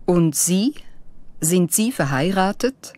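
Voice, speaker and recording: Lady reading slow german lesson lines, low-ish voice, long reverb. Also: expressive, a little mellow. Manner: slow, clearly enunciated phrases. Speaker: German dialogue speaker. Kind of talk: slow scripted language-lesson dialogue.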